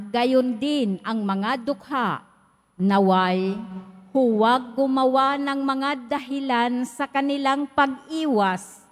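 High slow woman lector in church. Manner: slow, measured reading with pauses. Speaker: lector reading the intercessions. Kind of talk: reading the prayers of the faithful. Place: large church. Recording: echoey church hall.

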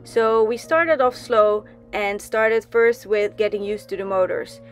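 Young woman from the Netherlands, mid-pitched, chatty vlog voice-over with light music behind. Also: a little slow. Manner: chatty, with many ums and restarts. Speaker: sailing vlogger. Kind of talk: casual voice-over narration.

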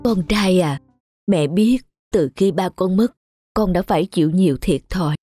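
A woman voicing a gentle, hard-pressed village mother in a cartoon dub, soft, caring and earnest. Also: fairly low pitch, moderate pace, soft background music, close to an everyday voice. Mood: sad, earnest. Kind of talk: cartoon dubbing.

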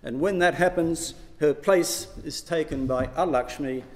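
A man's voice: a little high, measured pace, darkish tone. An older man giving an academic lecture, projecting his voice to a room without a microphone. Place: lecture hall, indoors. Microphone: far camera, no microphone. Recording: echoey hall from far off.

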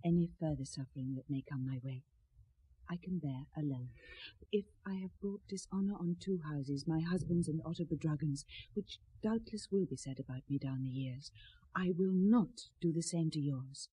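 Casting: a proud, grieving medieval lady for radio drama, dark mellow voice, heavily breathy. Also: low voice, normal speed, no echo, poor audio. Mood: anguished, resolute. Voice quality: soft, breathy. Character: sorrowful, proud medieval noblewoman fleeing her husband. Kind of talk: 1970s radio drama acting.

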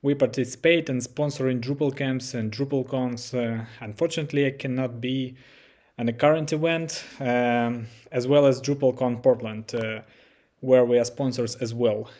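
A man's voice: medium pitch, fairly even intonation, normal speed, darkish tone. A man in his thirties with a Ukrainian accent giving a prepared video statement in a small room. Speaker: company CEO.

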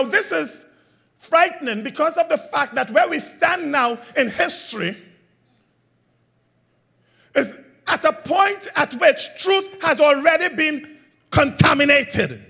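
High-voiced guy preaching a sermon, bright and forceful, throws questions back at the church. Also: vocal fry. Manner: preaching with call-and-response questions to the congregation; swings between loud emphatic lines and quiet low ones. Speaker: pastor.

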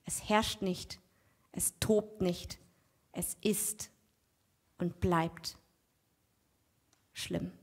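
A young woman performing spoken-word poetry at a stand mic on a theater stage, rhythmic, dropping to a near-whisper on quiet lines. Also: on the low side, fairly even intonation, extremely slow, pauses often. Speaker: poet.

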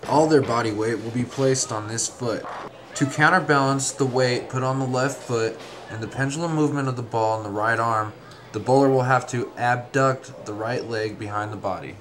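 A man reading a scripted instructional narration in a measured, formal, step-by-step way. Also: medium pitch, fairly bright tone, a little hiss or hum.

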